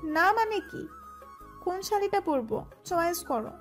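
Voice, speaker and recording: A bossy, clingy new bride, acted by a woman for a romantic audio story. Her voice is extremely high and girlish in pitch, pouty and teasing as she scolds or coaxes. Music plays behind her. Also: sing-song, a little slow, exaggerated voice. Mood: scolding, demanding. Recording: voice with background music.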